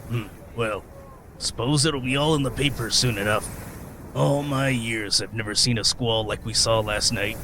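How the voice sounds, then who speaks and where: Man playing a gruff old sailor, noisy background. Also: mid-range voice, normal speed, lightly acted. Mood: grave, ominous. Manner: folksy rustic speech, hesitant storyteller. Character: weathered old sailor, gruff, modest teller of sea tales. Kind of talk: radio play acting.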